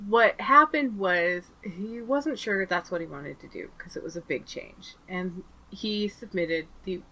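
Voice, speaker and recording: A woman co-hosting a podcast, with a voice in the middle of the usual pitch range. She recaps in a chatty, casual way, laughs a lot and slips into little acted-out quotes. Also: measured pace, bright, forceful tone, low-quality recording. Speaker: podcast co-host.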